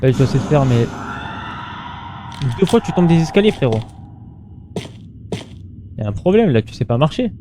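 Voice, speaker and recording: A man's voice: fairly high pitch, sing-song, moderate pace, pauses often, background noise. A man doing casual gameplay commentary in an informal, slangy way, muttering to himself and chatting to his viewers. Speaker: gaming streamer.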